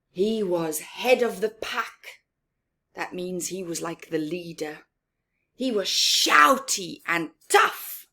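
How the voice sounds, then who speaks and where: Female picture-book reader for young children: expressive and dramatic, breathy edge, lots of pitch movement, hushed asides. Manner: theatrical, emphatic, dropping into whispers; asks young listeners questions and comments on pictures. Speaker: storyteller for children.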